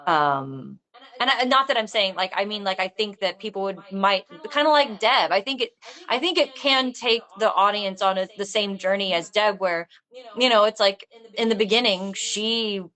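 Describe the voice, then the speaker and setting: A woman talking casually in a panel discussion, thinking aloud in a halting, rambling way. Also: medium pitch, sharp tone. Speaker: filmmaker discussing own script.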